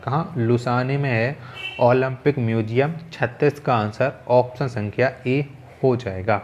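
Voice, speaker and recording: A man's voice: medium pitch, slight background noise. A man teaching an exam quiz lesson, methodically reading out questions and repeating key answers.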